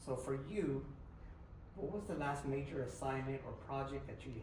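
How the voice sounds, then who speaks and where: A man's voice: medium pitch, normal speed, a little mellow, slight background noise. Man asking from far across an echoey room. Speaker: panel member. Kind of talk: asking interview question.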